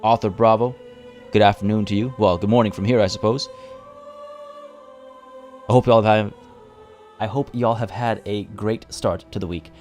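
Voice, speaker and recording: A man, a little hoarse, chatting casually as he streams a strategy game from home and greets viewers by name. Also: on the low side, fairly even intonation, moderate pace, pauses often, darkish tone, faint music behind. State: slightly hoarse. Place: home room by a window.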